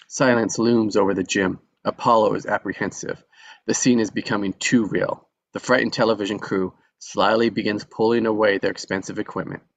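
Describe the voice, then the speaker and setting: A man reading the stage directions at a live script table read, steady and measured. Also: on the low side, no echo.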